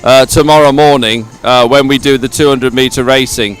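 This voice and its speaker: A man's voice: mid-range voice, fairly even intonation, normal speed, smooth, poor audio. A man presenting live outdoor sports coverage, effusive and often repeating his words as he chats and interviews. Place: outdoors at a regatta stadium.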